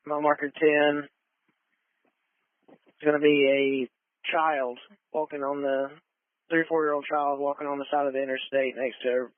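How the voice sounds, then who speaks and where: Monotone male dispatcher over tinny radio. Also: on the high side, dry recording. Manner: flat, procedural. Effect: radio transmission. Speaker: emergency dispatcher. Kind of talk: clipped radio dispatch call. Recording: phone-like narrow-band dispatch radio, dry.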